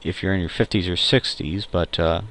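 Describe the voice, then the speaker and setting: Young adult male, low register, hesitant amateur audio-log host; casual, unscripted, stop-and-start delivery. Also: steady tone, fairly bright tone. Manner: hesitant, halting, self-correcting, with frequent pauses. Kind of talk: casual unscripted tech and personal monologue.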